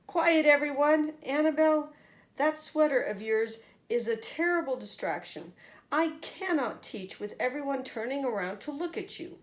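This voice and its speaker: A woman voicing a stern, exasperated male schoolteacher in a children's storybook, loud and scolding. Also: sits high, animated, normal speed, pitched above the performer's own voice.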